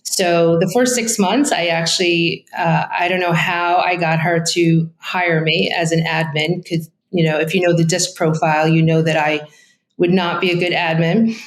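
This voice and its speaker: A woman's voice: on the low side, steady tone, moderate pace, reverberant, low-quality recording. A middle-aged woman from Brooklyn with a thick Brooklyn accent and Italian as her first language, a real estate agent chatting candidly in an interview with lots of fillers and asides. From Brooklyn, New York. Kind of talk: casual interview.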